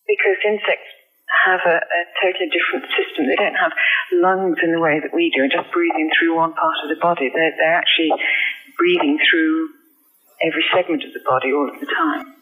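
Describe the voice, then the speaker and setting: A woman interviewed as an expert over the phone, explaining in a precise, matter-of-fact way and gently correcting. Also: low-pitched, fairly even intonation, a little fast, husky, narrow, tinny audio. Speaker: museum insect expert.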